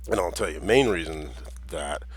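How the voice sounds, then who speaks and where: Low husky man, rambling monologue. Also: moderate pace. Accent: American with a slight Jamaican tinge. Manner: meandering, with many restarts and chuckles.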